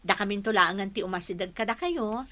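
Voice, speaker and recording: A woman's voice: mid-range voice, fairly quick, pushed and bright, slight background noise, natural voice. A woman with a Filipino accent acting an eager, respectful young student teacher, polite and earnest, in a radio drama. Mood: instructive.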